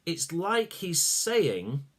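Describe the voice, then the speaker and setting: A man's voice: fairly high pitch, sing-song, very slow, fairly bright tone, voice pushed up, exaggerated voice. A man voicing a tiny boy king who stammers with wonder, in a storybook reading. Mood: puzzled.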